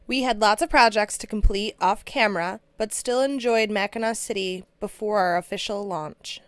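A woman's voice: medium pitch, normal speed, no room sound. A woman hosting a sailing vlog, reading scripted travel narration. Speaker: vlog host, sailor. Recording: loud music over the voice. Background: loud music.

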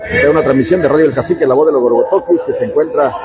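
A man's voice: fairly high pitch, rapid-fire, muffled recording. A man with an eastern Bolivian Spanish accent giving live radio commentary on a futsal match, talking conversationally to listeners. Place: indoor coliseum sports court, from the stands. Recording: very noisy live sports hall. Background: loud ambient noise of a futsal match.

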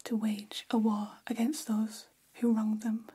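Woman as a slow, breathy whispering vampire hairstylist. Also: fairly high pitch, level tone, mellow. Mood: hushed, confiding. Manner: slow, soothing, gossipy, confiding. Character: elegant gossipy female vampire hairstylist. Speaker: ASMR role-play performer. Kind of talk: whispered ASMR role-play. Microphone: close ASMR microphone.

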